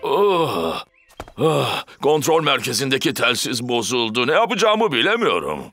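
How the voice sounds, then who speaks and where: A man's voice: mid-range voice, big pitch swings, a little slow, fairly bright tone, faint music behind, heavily acted. A man playing an elderly, kindly railway stationmaster in a children's cartoon dub, fretful, hesitant and full of exclamations. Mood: anxious, flustered.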